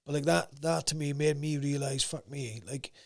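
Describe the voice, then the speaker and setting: A young man with a South Wales valleys accent and a gruff voice, talking bluntly and swearing freely as a podcast guest. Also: on the high side, fairly even intonation, normal speed. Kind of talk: casual interview chat.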